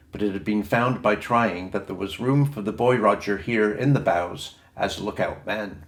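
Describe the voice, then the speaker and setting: Audiobook narrator, male, lower register, scripted storytelling read, noticeably roomy recording. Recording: roomy echoing sound.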